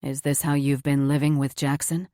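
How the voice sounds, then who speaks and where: A woman voicing a tender, remorseful man, a doctor, speaking low and earnestly. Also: little pitch movement, normal speed, dark tone, no echo, studio quality, close to an everyday voice.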